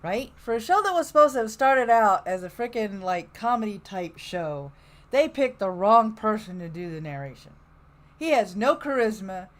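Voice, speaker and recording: Animated, sarcastic woman podcast host. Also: mid-range voice, normal speed. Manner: sarcastic heckling, mild swearing. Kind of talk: casual live chat commentary on old radio shows.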